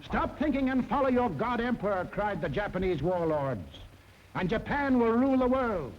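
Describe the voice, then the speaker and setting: High-pitched American man, 1940s propaganda narrator. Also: big pitch swings, normal speed. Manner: emphatic, dramatic. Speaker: documentary narrator. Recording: dull 1940s film soundtrack, loud music.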